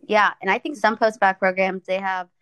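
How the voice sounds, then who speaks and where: A woman's voice: medium pitch, little pitch movement, normal speed, fairly bright tone, clear, resonant tone, no room sound. A young woman from Ethiopia, a podcast guest chatting casually and thoughtfully, with frequent fillers.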